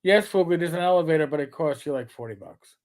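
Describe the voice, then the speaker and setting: A man's voice: on the high side, lively intonation, fast. A man with a New York accent hosting a live stream, chatting casually and rambling through his plugs. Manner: chatty, rambling, gets loud and brash when joking. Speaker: livestream host.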